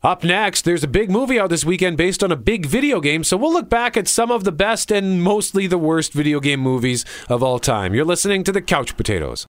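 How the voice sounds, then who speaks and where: A man's voice: high-pitched, expressive, brisk, hardly any pauses, no echo. A man hosting a casual entertainment talk show, chatting about pop culture. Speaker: show host.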